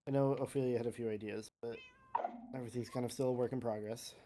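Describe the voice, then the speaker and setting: A player voicing a calm, thoughtful adventurer in improvised game roleplay, explaining things in a measured, slightly hesitant way. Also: mid-range voice, noisy background, close to an everyday voice.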